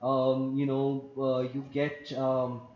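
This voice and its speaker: A man from Pakistan, speaking on an online panel in a measured, lecturing way and repeating phrases for emphasis. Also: mid-range voice, completely flat delivery, slight background noise. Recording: muffled lo-fi audio.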